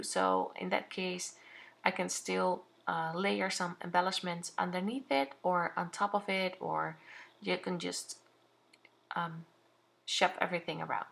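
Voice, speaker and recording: A woman's voice: on the low side, gravelly, fairly bright tone. A young woman with a Dutch accent, talking through her scrapbooking in a casual voice-over, hesitant and full of fillers. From Netherlands. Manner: hesitant, many fillers and restarts. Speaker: scrapbooking channel host.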